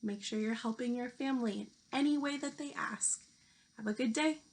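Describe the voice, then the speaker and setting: A woman teacher reading a children's picture book aloud, speaking deliberately to young listeners. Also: fairly high pitch, slight background noise. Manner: slow, deliberate delivery addressed to young children. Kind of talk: children's storybook read-aloud.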